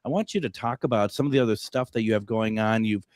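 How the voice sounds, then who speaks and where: A man's voice: lowish voice, fast, darkish tone, no room sound, clean studio sound. A man, hosting a radio talk show, chatting casually and reading his own ads, restarting often with "uh".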